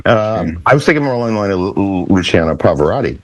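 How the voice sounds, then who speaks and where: A man's voice: low-pitched, lively intonation, quick pace, a little mellow, no room sound, lo-fi sound. A man chatting casually as a podcast panelist, with a wry, teasing manner. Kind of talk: casual chat.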